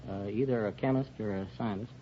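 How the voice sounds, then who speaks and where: A man's voice: lowish voice, fast, breathy edge. A man answering as a radio quiz contestant, unscripted, literal and a little hesitant. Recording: old radio, muffled, noisy audience.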